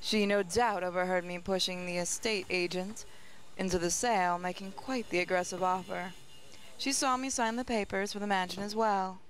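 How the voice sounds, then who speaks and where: A woman's voice: mid-range voice, fairly even intonation, moderate pace, poor audio. A woman gaming host reading game narration aloud, with casual asides.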